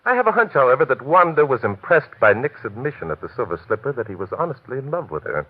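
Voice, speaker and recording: A man playing a shrewd, unruffled detective in a classic radio mystery, polite, methodical and smoothly insistent, with a husky voice. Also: medium pitch, very animated, quick pace. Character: shrewd, unruffled male detective, courteous and probing. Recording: tinny, narrow-band old radio recording.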